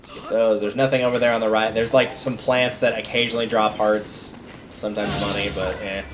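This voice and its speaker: Low-voiced man chatting through a video game. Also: flat delivery, a little fast, noisy background. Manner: conversational, thinking aloud while playing. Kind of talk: casual gameplay commentary. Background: video game music.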